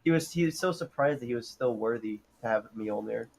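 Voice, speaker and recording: A man's voice: mid-range voice, quick pace, vocal fry, a crowd in the background. A young man in a casual fan chat, co-hosting a stream and talking in an informal, slangy way. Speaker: co-host of a live-streamed discussion.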